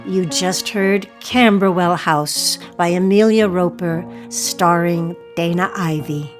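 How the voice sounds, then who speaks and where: A woman's voice: fairly low pitch, slow pace, music in the background. A woman host presenting credits and putting questions in an interview style. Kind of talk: scripted outro and interview.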